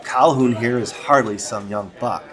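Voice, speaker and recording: An actor playing a stoic, demanding police captain in a 1920s radio drama, speaking with firm command. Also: male voice, medium pitch, normal speed, a bit bright, natural voice. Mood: stern, measured.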